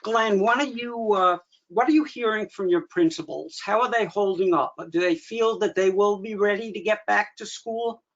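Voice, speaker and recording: A man's voice: high voice, normal speed, few pauses. A man moderating an online meeting, conversationally putting questions to a guest. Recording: dull, lo-fi video call audio.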